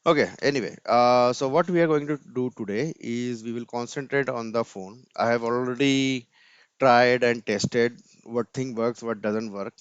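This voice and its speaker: A man's voice: medium pitch, normal speed, clean tone, dry recording. A man presenting an informal screen-share coding tutorial step by step, often tagging his sentences with "right?", on a dull computer mic.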